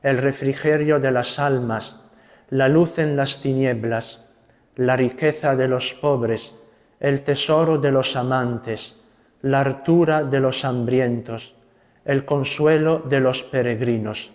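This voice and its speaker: Young italian friar, gentle slow talk, bit of reverb in the room. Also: male voice, medium pitch, steady tone. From Italy. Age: young adult. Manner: gentle, unhurried, adds tag questions. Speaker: Carmelite friar giving a talk. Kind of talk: spiritual talk with prayer.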